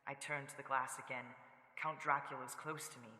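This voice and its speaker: A man playing an uneasy traveller who recounts his ordeal in grave, deliberate, suspenseful radio horror narration. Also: mid-range voice, little pitch movement. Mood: fearful, trapped. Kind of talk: dramatic first-person radio horror narration. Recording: echoey reverb, loud background ambience.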